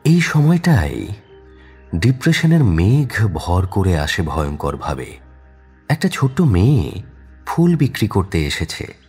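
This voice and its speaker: A man's voice: sits low, a little mellow, breathy edge. A man narrating a crime audio story in a dramatic, scripted style.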